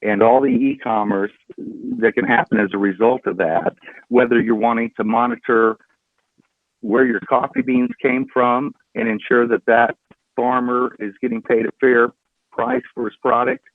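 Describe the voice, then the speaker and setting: A middle-aged man speaks as an interview guest in an earnest, deliberate way. His voice sits at a medium pitch and comes through narrow and tinny, like a phone call. The sound is dry, with no room around it. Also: gravelly, no room sound. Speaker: podcast guest. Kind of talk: casual interview talk.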